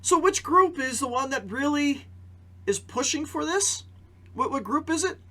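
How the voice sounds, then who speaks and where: A man's voice: very high voice, lively intonation, a little slow, pushed and bright. A man giving conversational news commentary as a podcast host, posing rhetorical questions and repeating phrases for emphasis. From Canada.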